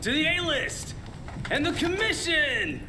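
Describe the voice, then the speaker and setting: An actor playing a young, friendly, excitable male monster hunter in a video game cutscene, boisterous and eager. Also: very high-pitched, measured pace, heavy background noise, heavily acted. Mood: excited, cheering. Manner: boisterous, chummy. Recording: loud music behind the voice.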